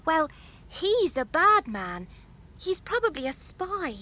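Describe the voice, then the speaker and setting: Brief: plucky fairground child, very high-pitched, urgent and insistent, children's audio drama. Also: female voice, very animated, slow pace, bright, forceful tone, heavily acted. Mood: urgent, pleading. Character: plucky young girl from a travelling fair, earnest.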